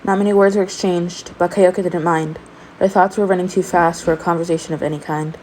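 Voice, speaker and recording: Lowish-voiced woman narrating fan fiction. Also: fairly even intonation, brisk, dark tone, slight background noise, poor audio. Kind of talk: expressive amateur story narration.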